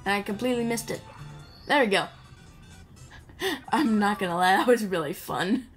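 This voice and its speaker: Female gaming commentator, mid-pitched, casual and playful, wandering chatter aimed at the characters, game music underneath. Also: lively intonation, moderate pace. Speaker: gamer playing and commenting. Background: video game music.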